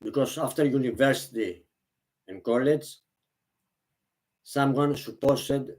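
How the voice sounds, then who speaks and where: Slow, halting Greek-speaking man. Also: medium pitch, a little mellow, poor audio. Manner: halting, repeats and restarts phrases, non-native grammar. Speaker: vlogger. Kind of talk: slow, earnest monologue to camera.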